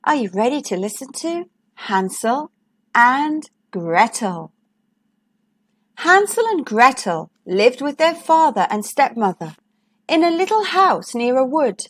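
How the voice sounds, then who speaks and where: A woman storyteller with a high voice reads to young children. Her delivery is slow and deliberate, with very animated, expressive pitch movement. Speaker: children's storyteller, channel host. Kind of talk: read-aloud storybook narration for young children.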